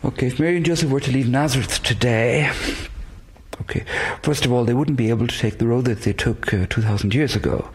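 Irish priest, raspy gravelly voice, lots of ums and uhs. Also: male voice, mid-range voice, fairly quick. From Ireland, west coast. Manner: hesitant, many fillers. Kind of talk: interview answers.

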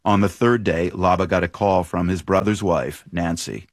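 Male host, deep voice, scripted documentary narration, dry studio sound. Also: steady tone, moderate pace, no room sound.

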